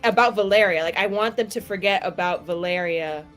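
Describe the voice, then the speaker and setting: A woman's voice: mid-range voice, a little fast, fairly bright tone, faint music behind. A woman chatting casually as a player in a tabletop roleplaying game.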